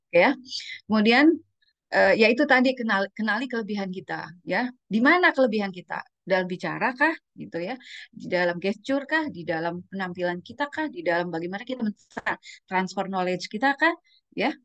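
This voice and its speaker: A woman's voice: medium pitch, expressive, brisk, a bit bright, dry recording. A woman giving an informal training presentation as a communication trainer, instructive and conversational, often adding tag questions and mixing in English terms.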